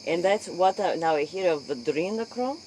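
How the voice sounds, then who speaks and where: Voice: medium pitch, animated, moderate pace, darkish tone, rough recording. Spoof talk-show interviewer, mid-range voice, inquisitive, foreign-sounding broken English, faint night-time insect noise behind. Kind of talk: role-play spoof late-night interview.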